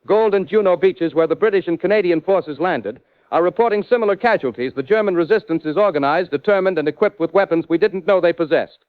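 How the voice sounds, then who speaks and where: Shaken 1940s American war reporter, male actor: high voice, urgent, dramatic, thin phone-like radio transmission. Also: quick pace. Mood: grave, urgent. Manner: urgent, dramatic, florid eyewitness delivery. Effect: radio transmission filter. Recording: tinny, narrow wartime radio link.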